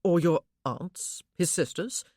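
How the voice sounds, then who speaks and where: A woman voicing a protective blind gentleman who questions in a steady, dry manner. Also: lowish voice, takes it slowly, no echo. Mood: probing.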